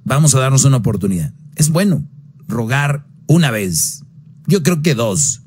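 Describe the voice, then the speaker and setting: A man hosts a radio advice call-in. His voice sits at an ordinary middle pitch. He talks bluntly and preachily, jumping his pitch up sharply whenever he drives a point home. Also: very animated, normal speed, darkish tone, a little hiss or hum.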